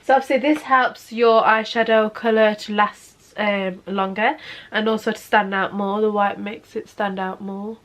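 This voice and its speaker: A woman's voice: medium pitch, fairly even intonation, a little slow, a bit bright. A woman, sniffly, talking through a makeup tutorial in a casual, chatty way from her bedroom. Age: 20s. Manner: chatty, keeps saying "obviously". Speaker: makeup vlogger. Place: bedroom, sitting on a bed.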